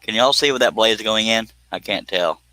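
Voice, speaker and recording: A man's voice: fairly low pitch, moderate pace, sharp tone, dry recording, low-quality recording. A man in his fifties hosting a casual live stream, chatting in a folksy, joking, teasing way. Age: 50s.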